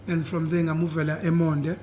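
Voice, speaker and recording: A young South African man with a husky voice, chatting easily as an interview guest and mixing in isiZulu. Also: a little high, fairly quick, a little hiss or hum. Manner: relaxed, switches between English and isiZulu, repeats "yeah". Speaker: guest, singer. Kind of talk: casual interview chat.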